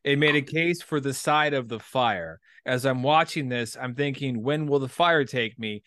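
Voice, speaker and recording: A man hosts a casual podcast in a rather low-to-middle voice. He rambles and hesitates, poking fun at himself. The sound is close and dry, with no room around it. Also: moderate pace, fairly bright tone, dry recording. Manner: hesitant, rambling, self-deprecating. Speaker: podcast host. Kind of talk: casual chat.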